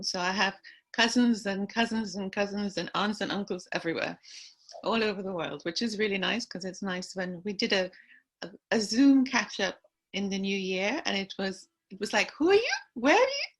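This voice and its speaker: Older Trinidad-born woman recounting her career. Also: medium pitch. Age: 60s. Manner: chatty, warm laughter, storytelling. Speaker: aesthetic nurse, guest. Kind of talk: relaxed conversational interview.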